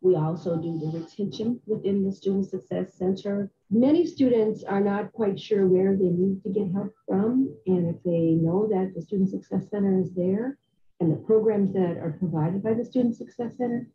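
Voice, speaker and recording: A woman's voice: mid-range voice, normal speed, lo-fi sound. An older woman in a documentary interview, explaining student support programs earnestly. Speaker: student success administrator.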